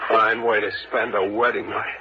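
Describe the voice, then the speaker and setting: An actor playing an anxious young bridegroom who tries to stay in charge, urgent and slangy, in the melodramatic style of 1940s radio thrillers. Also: male voice, fairly low pitch, flat delivery, moderate pace, close to an everyday voice. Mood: uneasy, reassuring. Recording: noisy lo-fi vintage radio recording.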